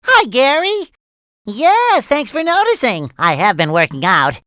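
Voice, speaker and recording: A man voicing an excitable, naive, cheerful young cartoon sea sponge in a video game, with a squeaky nasal voice and eager, childlike earnestness. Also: a little slow, dry recording, clean studio sound, full character voice. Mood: gleeful. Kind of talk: animated video game voice lines.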